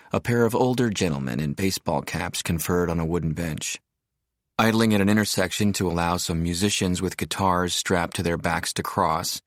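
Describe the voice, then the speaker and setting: A man narrating an audiobook in the first person as a reflective architect, in a measured, descriptive storytelling manner. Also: low-pitched, no room sound, clean studio sound. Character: reflective male architect narrating his arrival in a seaside town.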